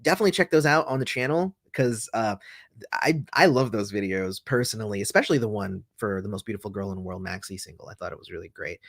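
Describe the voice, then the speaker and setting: A man's voice: on the low side, fast, a bit bright, no echo, clean studio sound. A man hosting a casual livestream, reading viewer comments aloud and rambling through answers with many fillers and restarts. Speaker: host answering livestream chat.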